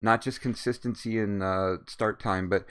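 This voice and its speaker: A man's voice: fairly low pitch, steady tone, moderate pace, a bit bright, clean tone. A man hosting a casual interview who rambles, swears freely and laughs often. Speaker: interview host.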